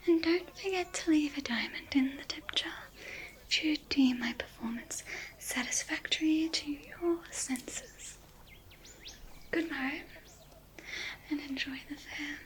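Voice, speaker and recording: A woman playing a gentle, courteous female elf mage in an ASMR roleplay, whispering soothingly with slow, old-fashioned courtly phrasing. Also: sits high. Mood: courteous farewell. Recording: echoing whisper over music.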